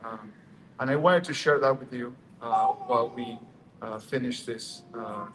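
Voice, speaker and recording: A man giving a brief, halting speech to an audience, full of hesitations, then introducing the next speaker. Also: mid-range voice, a crowd in the background, low-quality recording. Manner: halting, many uh fillers and restarts. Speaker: event speaker handing over the mic. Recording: noticeable background room noise.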